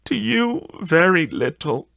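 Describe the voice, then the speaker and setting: A man voicing a gentle, sorrowful young Victorian woman in an audiobook, speaking in a deliberate, drawn-out way. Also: high voice, sing-song, gravelly, dry recording, studio quality, voice pushed up, full character voice. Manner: soft, deliberate, drawn-out phrasing. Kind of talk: audiobook character voice.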